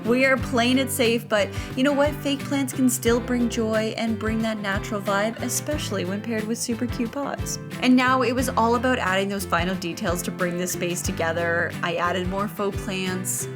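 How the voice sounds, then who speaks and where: A woman's voice: a little high, steady tone, moderate pace, hardly any pauses, over music. A woman with a playful, chatty manner, narrating a home makeover video in an upbeat scripted style with casual asides. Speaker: host of a home makeover vlog.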